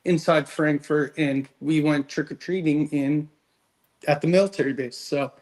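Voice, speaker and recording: The sort of higher-voiced American guy you'd hear swapping travel stories on a casual online hangout panel. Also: fairly even intonation, normal speed, darkish tone. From born in Connecticut, USA, lived in several countries as a child. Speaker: panelist on a pop culture hangout show. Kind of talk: casual chat.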